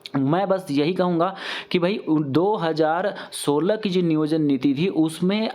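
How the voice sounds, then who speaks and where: A man's voice: a little high, unhurried, dark tone. Man giving informal news commentary. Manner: conversational, mixes in English words. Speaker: news update channel host.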